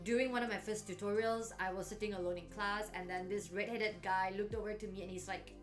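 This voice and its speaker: A young woman from Malaysia talks to her camera in a casual, upbeat vlog. Her voice sits at an ordinary middle pitch and she sprinkles in "like" as she chats. Soft music plays faintly underneath. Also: steady tone, brisk. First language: not English or French. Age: 20s. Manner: chatty, upbeat, frequent "like" fillers. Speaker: YouTuber.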